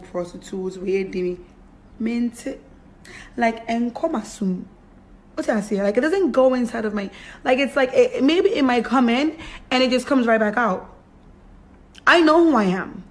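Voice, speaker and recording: A woman speaks off the cuff to her followers from a bedroom. She is blunt and emphatic, with a defiant, unfiltered delivery. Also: fairly high pitch. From raised in Brooklyn, New York; Ghanaian. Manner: blunt, emphatic, defiant, swearing, switching into Twi. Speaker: vlogger addressing followers. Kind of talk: unscripted casual rant to camera. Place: small bedroom, sitting on a bed indoors.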